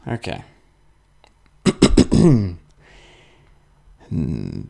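A young man playing a video game and commenting on it, muttering slowly and then yelping loudly in fights, with faint game music behind. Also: deep voice, wildly swooping pitch, creaky. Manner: slow, mumbling asides that jump to loud yelps in fights. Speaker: gaming video host. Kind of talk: let's play commentary. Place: indoor room with webcam.

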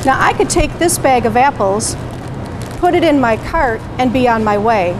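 Middle-aged woman, high bright register, patient teacherly delivery; store location audio with noticeable background noise. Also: animated, normal speed. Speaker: parent educator. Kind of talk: instructional presentation to camera. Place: grocery store produce section, indoors.

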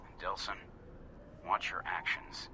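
An actor playing a grave, principled middle-aged resistance informant in a video game, speaking solemnly and deliberately. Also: male voice, low voice, level tone, noisy background. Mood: solemn, resigned. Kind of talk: video game radio message.

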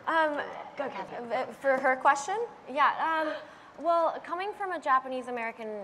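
Soft-spoken young woman on a panel. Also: sits high, moderate pace, a crowd in the background. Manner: soft-spoken, hesitant, with fillers. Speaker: actress on a press panel. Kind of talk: panel answer. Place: stage of a large event hall.

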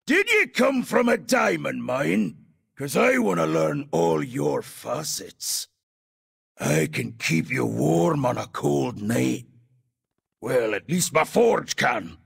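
A fiery, blustering dwarf from a fantasy video game, speaks with a thick Scottish accent. The voice is forceful and full of gravel, pitched high for a man. It is delivered slowly and heartily. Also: very animated, heavily acted. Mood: flirtatious. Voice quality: gravelly, raspy. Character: gruff, fiery, boisterous male Dark Iron dwarf. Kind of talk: video game character voice lines.

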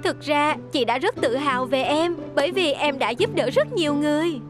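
A woman's voice: high-pitched, expressive, normal speed. A woman voicing a kind, encouraging big-sister character in a children's cartoon. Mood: proud, reassuring. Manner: caring, encouraging. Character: kind, caring young woman, big-sister mechanic to buses. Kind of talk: dubbed children's cartoon acting. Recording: loud music behind the voice.

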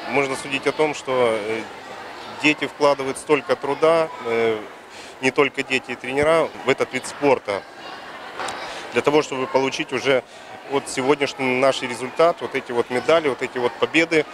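A man in his forties, a sports official, giving a halting, formal interview answer. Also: mid-range voice. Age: 40s. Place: large indoor sports hall. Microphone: handheld reporter microphone. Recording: loud busy sports hall noise.

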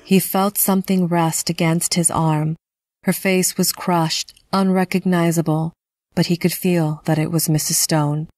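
A woman reading scripted narration for a dark fantasy audio drama. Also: lowish voice, level tone, a little slow, no echo.

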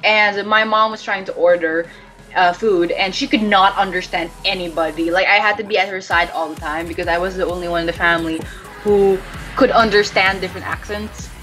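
A woman's voice: lowish voice, steady tone, fairly quick, a bit bright, soft background music, poor audio. A woman talking casually as a podcast guest, rambling with lots of fillers and restarts. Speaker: podcast guest, webcomic creator.

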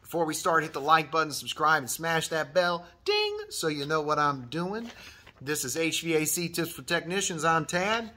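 A man's voice: fairly high pitch, lively intonation, normal speed, pushed and bright, a little hiss or hum. A man in his thirties hosting a trade advice vlog, earnest and encouraging, repeating his key points, filmed close in a decorated workshop room. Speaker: HVAC channel host. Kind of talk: advice vlog, reading a viewer email.

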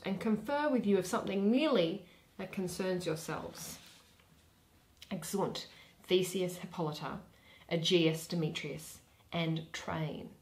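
A young woman giving an expressive dramatic reading of a Shakespeare play, with theatrical, deliberate phrasing and pauses. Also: mid-range voice, poor audio. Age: young adult. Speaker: reader.

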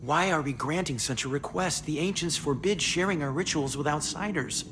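A man's voice: on the high side, moderate pace, close to an everyday voice. Man playing a deferential junior monk, noisy background. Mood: doubtful, deferential. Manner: deferential, formal, earnest. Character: dutiful, hesitant junior monk wary of outsiders. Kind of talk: video game character dialogue. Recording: noisy room ambience.

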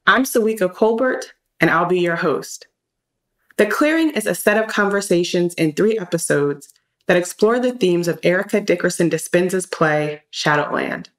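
Woman podcast host reading her script, voice on the lower side.

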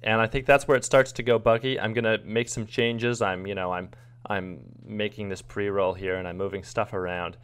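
Man, low voice, video editor, casual screen-recording narration. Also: fairly even intonation, moderate pace, fairly bright tone, smooth.

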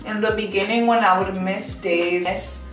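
A woman's voice: mid-range voice, steady tone, normal speed, distant mic. A young woman in her twenties talking earnestly and casually about her fitness goals as a vlogger. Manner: earnest, reflective, chatty with frequent "like". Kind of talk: casual vlog voice-over and talk to camera. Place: home bathroom and bedroom, indoors. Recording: roomy sound from off the mic, music behind. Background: soft background music.

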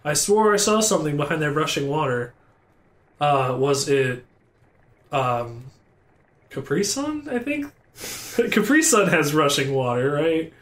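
A man's voice: on the high side, very animated, measured pace, long pauses, fairly bright tone. A young man casually commentating a video game, rambling and joking as he reads dialogue aloud. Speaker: let's play commentator. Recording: roomy with game music behind.

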